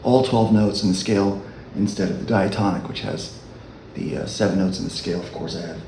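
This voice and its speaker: A man with a low voice giving a relaxed, halting dulcimer lesson as a worship leader. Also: creaky, reverberant, background noise. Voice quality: low speaking voice. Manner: unhurried, halting explanation. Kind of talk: casual music tutorial.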